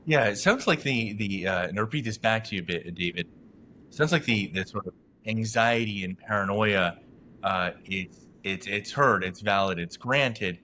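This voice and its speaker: Bright-voiced, wordy interview host. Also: male voice, on the low side, normal speed, slight background noise. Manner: wordy, rambling questions with fillers. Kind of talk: interview hosting.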